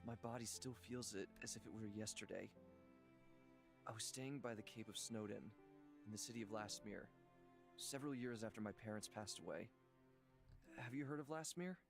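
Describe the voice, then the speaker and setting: An actor voicing a gentle, weary immortal healer haunted by old suffering, speaking slowly and softly in a dramatic visual novel style. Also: male voice, on the low side, natural voice. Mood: somber, reflective. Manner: slow, halting, soft-spoken confiding. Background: background music.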